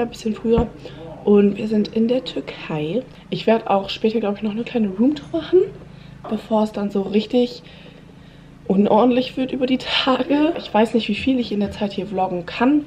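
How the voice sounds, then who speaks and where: A woman's voice: medium pitch, highs cut off, a little hiss or hum. A young woman with a cold, her voice a little stuffy and congested, chatting casually to camera in a hotel room while filming on a handheld phone. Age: young adult. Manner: chatty, relaxed, talking straight to camera. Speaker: vlogger. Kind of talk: casual travel vlog. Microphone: handheld phone camera at arm's length.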